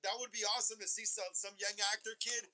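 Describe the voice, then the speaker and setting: A man in his thirties talks at an unusually high pitch as he hosts a casual live stream. He rambles, circles back and restarts his sentences, calling his viewers "bro" in a loose, chatty way. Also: steady tone, fast talker, bright, forceful tone. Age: 30s. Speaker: host of a horror movie livestream.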